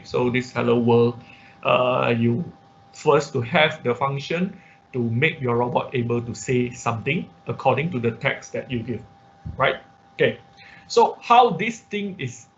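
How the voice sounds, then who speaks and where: A man who speaks English as a second language teaches an online class step by step, haltingly, repeating words and checking with okay and right. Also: medium pitch. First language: not English. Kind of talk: live online tutorial, explaining step by step. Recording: dull, lo-fi online class audio.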